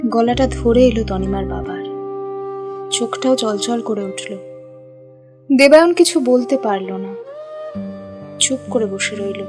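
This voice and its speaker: A woman's voice: on the high side, unhurried, pauses often. A woman narrating a scripted audio story. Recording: music under the voice.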